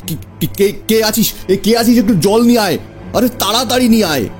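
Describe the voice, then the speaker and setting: Actor as an excitable, high-voiced flustered father. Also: fairly quick, faint music behind. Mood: panicked. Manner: agitated, stammering, repeats himself. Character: anxious, flustered father, village gentleman. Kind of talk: horror audio drama.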